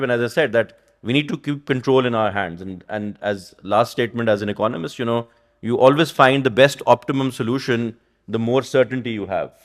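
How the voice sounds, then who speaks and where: A man from Pakistan, an economist speaking on a conference panel in a thoughtful, rambling way, often asking "right?". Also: mid-range voice. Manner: thoughtful, rambling, frequent "you know" and "right?". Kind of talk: panel discussion. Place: conference stage.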